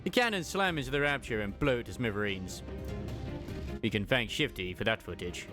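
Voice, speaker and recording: A man's voice: mid-range voice, expressive, brisk, music in the background. A man reading scripted story narration as a voice-over for a fandub.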